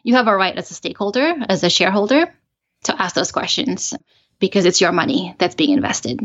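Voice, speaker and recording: A woman in her thirties from the Philippines, speaking as a podcast guest. Her voice sits low, and she explains things earnestly, sometimes restarting her sentences. The audio is slightly muffled and lo-fi. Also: expressive, brisk, fairly bright tone. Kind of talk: casual interview chat.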